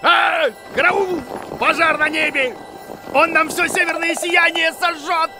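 A man voicing a goofy, blustering, bossy and excitable bearded teacher in a children's cartoon. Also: very high voice, measured pace, music in the background. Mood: alarmed. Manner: blustering, cocksure, exclaims in alarm.

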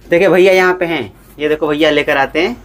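A man's voice: fairly high pitch, brisk, fairly bright tone. A talkative man hosts a casual unboxing vlog. He keeps turning to his viewers as brother and says things twice to drive them home. His delivery is loose and conversational, never scripted. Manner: repeats points for emphasis. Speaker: vlogger.

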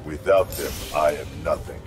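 A man's voice: extremely low pitch, normal speed. A man playing a stern, honor-bound ninja warrior in a video game, speaking in grave, terse, formal phrases. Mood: solemn. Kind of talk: video game character acting. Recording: loud music behind, distant sound.

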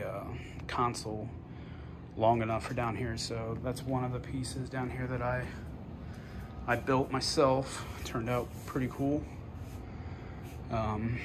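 A man casually narrating a walk-through tour of his basement game room, offhand and pausing often. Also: mid-range voice. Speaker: homeowner giving a video tour. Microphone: handheld phone, behind the camera. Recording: noisy indoor phone video.

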